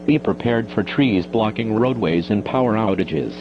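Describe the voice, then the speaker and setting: Casting: male automated weather announcer, lowish pitch, formal and measured, heard through dull, hissy weather-radio audio. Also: lively intonation. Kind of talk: scripted emergency weather bulletin. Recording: muffled noisy weather-radio broadcast with hum.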